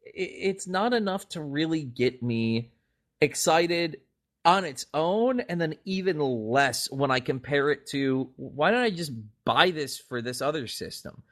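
A man co-hosting a podcast, talking casually and giving his opinions in an animated, skeptical, sarcastic way. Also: on the high side, a little slow. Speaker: podcast co-host.

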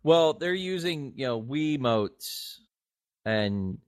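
A man co-hosting a podcast, talking casually about video games at a medium pitch. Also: animated, slow pace. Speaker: podcast co-host. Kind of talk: casual video game discussion.

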